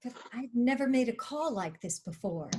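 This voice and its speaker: A woman's voice: fairly high pitch, expressive, normal speed, mellow, natural voice. A woman acting a shrewd, reluctant, brusque television star and boss in a screenplay table read. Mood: wary. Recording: faint background noise, online call.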